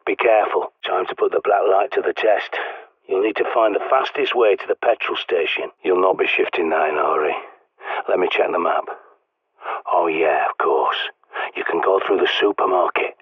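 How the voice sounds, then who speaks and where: Gruff survivalist bloke on a tinny radio, husky low voice, bossy, sarky, video game. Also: male voice, normal speed, no room sound. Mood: dry, sardonic. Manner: blunt, commanding, sardonic. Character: gruff, bossy middle-aged British survivalist guiding by radio. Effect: radio transmission filter. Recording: tinny, band-limited radio with static.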